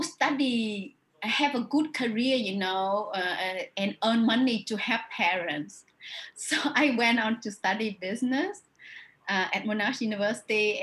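A woman's voice: medium pitch, a bit bright, poor audio. A woman from Vietnam with a Vietnamese accent, speaking thoughtfully in an interview about her writing, often restarting and adding "you know". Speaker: author. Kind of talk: reflective interview answers.

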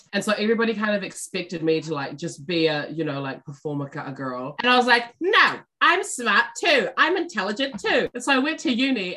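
A woman's voice: fairly low pitch, a bit bright. A young New woman, a musician, chats as a guest in a bubbly, jokey way. Her talk is full of fillers and easy laughter, and she speaks. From New Zealand. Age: young adult. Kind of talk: casual interview chat.

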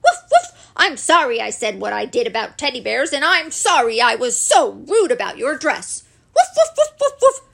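An excitable storybook dog, acted out by a woman, full of himself and bursting into high, loud woofs between phrases. The pitch leaps wildly from chatty boasting up to sharp barks. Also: moderate pace, gravelly, full character voice. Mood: admiring, apologetic.

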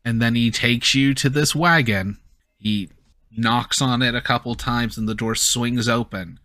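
A man's voice: medium pitch, steady tone, measured pace, bright, forceful tone, dry recording. A man narrating as game master for a tabletop game, in a casual, rambling way full of fillers.

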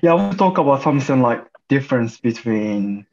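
A man's voice: mid-range voice, lively intonation, brisk, creaky, a little mellow, lo-fi sound. A young man from Japan, an English speaker, chatting casually with many fillers. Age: young adult. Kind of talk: casual chat.

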